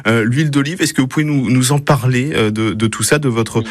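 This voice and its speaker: A man's voice: mid-range voice, brisk, no room sound. A man interviewing a guest on the radio, asking long questions with small stumbles.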